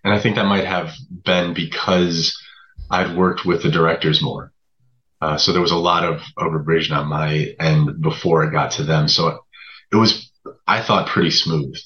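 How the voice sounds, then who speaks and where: A man with an extremely deep voice reflects casually as a guest writer. He is measured and a little hesitant, thinking aloud. The recording is somewhat dull and lo-fi. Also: fairly even intonation.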